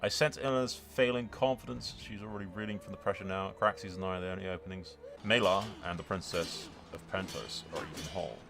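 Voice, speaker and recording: A man giving excited, sweary video game commentary with a theatrical, roleplaying streak. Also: sits low, a little fast, gravelly, hardly any pauses, over music.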